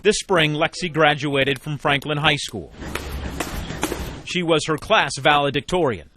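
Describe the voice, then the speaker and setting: Male news reporter, higher-pitched, emphatic feature storytelling in short phrases, noisy background. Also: a little slow. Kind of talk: scripted feature narration.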